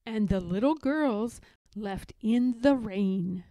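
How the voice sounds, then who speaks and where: A woman reading a children's picture book aloud in a deliberate, expressive storytelling manner. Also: mid-range voice, no room sound, muffled recording. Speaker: storybook reader.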